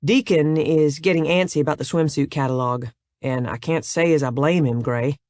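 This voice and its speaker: Narrator as a man of few words, plain, reserved, even pitch. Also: normal speed, no room sound, clean studio sound, natural voice.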